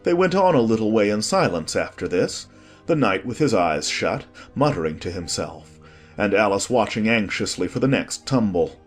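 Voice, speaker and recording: A man's voice: fairly low pitch, sing-song, normal speed, faint music behind. A man reading scripted storybook narration as the narrator of an audiobook.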